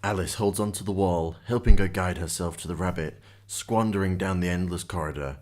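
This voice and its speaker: A man's voice: low voice, fairly quick, a little mellow. A man reading scripted narration as the storyteller of a radio drama.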